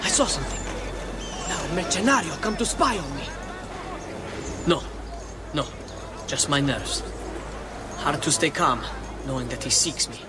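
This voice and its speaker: An actor playing a paranoid, jittery conspirator who is being hunted, nervous, in a video game. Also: male voice, a little high, animated, unhurried, long pauses, a loud crowd. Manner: nervous, muttering to himself. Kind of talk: dramatic video game cutscene acting. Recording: very loud music, outdoor ambience behind.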